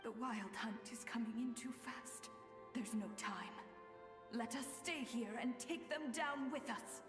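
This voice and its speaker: A woman's voice: a little high, music in the background, natural voice. An actress playing the ghost of a doomed, loyal soldier in a video game, imploring, with reverb on her voice. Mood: despairing. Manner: slow, imploring. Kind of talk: video game character acting. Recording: echoey long hall reverb.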